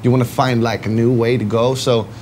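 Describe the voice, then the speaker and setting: Swedish-accented man, halting answers. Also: medium pitch, little pitch movement, a little mellow, a little hiss or hum. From Sweden. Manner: hesitant, many fillers and restarts. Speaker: band member. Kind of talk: casual interview answers.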